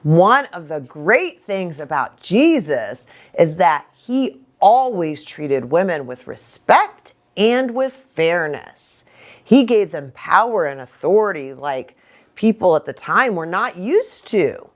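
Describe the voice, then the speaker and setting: A woman's voice: fairly low pitch, sing-song, slow. An American woman preacher explaining things simply to children as a story-time host.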